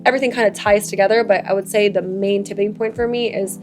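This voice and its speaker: A young woman co-hosting a podcast, whose first language is Farsi. She speaks in an earnest, enthusiastic way with a flat, even pitch. Faint music plays under her voice. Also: quick pace. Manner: earnest, enthusiastic about fitness. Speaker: podcast co-host. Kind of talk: casual chat.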